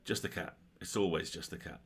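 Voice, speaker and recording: A man's voice: low-pitched, brisk, gravelly, breathy edge. A man co-hosting a livestream, moving between casual chat and reading game narration aloud.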